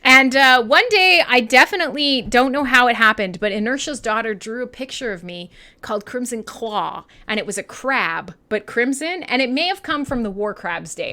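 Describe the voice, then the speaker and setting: A Canadian woman hosts a loose, irreverent music radio show. Her voice sits a little high and has a bright, forceful edge. She rambles playfully and excitably, swinging widely in pitch and swearing freely. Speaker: radio host and metal DJ. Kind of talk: casual, irreverent live radio chat. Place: her own home-style studio.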